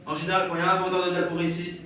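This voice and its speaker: Chatty French-accented comedian in an echoey hall. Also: male voice, sits high, monotone, rapid-fire. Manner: chatty, bantering with the audience, laughing often. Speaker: stand-up comedian. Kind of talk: live stand-up storytelling. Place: live comedy stage before an audience. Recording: echoey live room, distant mic, audience noise.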